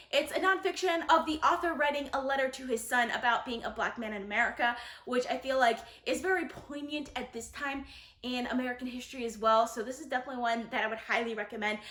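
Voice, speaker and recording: A woman's voice: high-pitched, fairly bright tone. A woman talking casually and enthusiastically to her viewers as a book reviewer, gushing and emphatic. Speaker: book reviewer, video host. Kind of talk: casual book-tag vlog talk to viewers. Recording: roomy echo.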